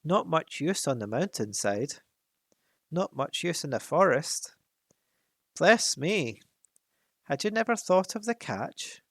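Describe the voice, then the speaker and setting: A man voicing a huge, boastful, wicked old male dragon in a storybook reading, with a slow, gloating, menacing delivery. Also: fairly high pitch, big pitch swings, a bit bright, dry recording, clean studio sound, voice pushed up, full character voice. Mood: sly, mocking.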